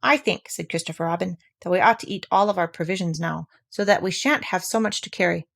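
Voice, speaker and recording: A woman's voice: fairly low pitch, a little fast, vocal fry, a bit bright. Woman reading as an earnest little boy in charge; storybook. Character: earnest young boy leading the group.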